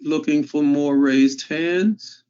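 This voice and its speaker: A man moderating an online class, calmly calling on raised hands again and again. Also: fairly high pitch, clear, resonant tone. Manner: repetitive, measured prompting. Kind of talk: calling on participants. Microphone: video call. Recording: dull lo-fi call audio.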